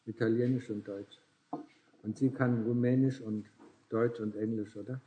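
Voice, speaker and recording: A man's voice: medium pitch, steady tone, normal speed, dark tone, muffled recording. A man in his sixties talking casually as a Buddhist teacher with visitors, tagging nearly every phrase with a questioning "ne?" and chuckling often. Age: 60s.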